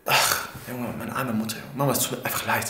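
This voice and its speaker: Man, twenties, rapid speech, excitable, teasing, casual vlog banter, echoey living room, background music. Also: medium pitch. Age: 20s. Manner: excitable, teasing, repeats phrases for effect. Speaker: host of an anime challenge channel. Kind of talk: casual, jokey banter to camera. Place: living room sofa, indoors.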